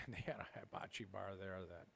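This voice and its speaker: A man's voice: sits low, a little fast, vocal fry, a bit bright, slight background noise. A middle-aged man from Minnesota, a podcast co-host telling rambling stories with frequent laughter. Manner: rambling storyteller, laughs often. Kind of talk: casual chat.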